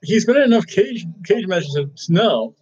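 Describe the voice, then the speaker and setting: A man hosting a casual wrestling review, rambling and excitable, often restarting his sentences. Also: high voice, unhurried, husky. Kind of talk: casual chat. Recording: dull lo-fi recording.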